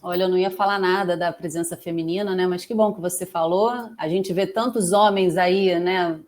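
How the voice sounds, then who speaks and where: A woman's voice: on the low side, steady tone, normal speed, darkish tone. Female moderator, Brazilian Portuguese accent, friendly and informal introductions. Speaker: panel moderator. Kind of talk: moderating a panel.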